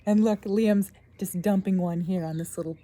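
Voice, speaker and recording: A woman's voice: medium pitch, fairly even intonation, moderate pace, warm tone, a little hiss or hum. A woman in her thirties reads a picture book aloud like a teacher, expressively, stressing key words and adding asides for young listeners. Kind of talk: children's read-aloud with commentary on the pictures. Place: outdoors in a garden.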